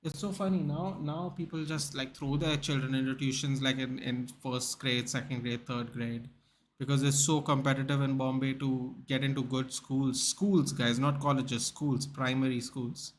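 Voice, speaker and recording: A man's voice: medium pitch, flat delivery, moderate pace, slight background noise. A young man with an Indian English accent from Goa, hosting a casual livestream and chatting with viewers by name, full of restarts and "dude" and "man". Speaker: livestream host. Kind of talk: casual livestream chat reading out viewer comments.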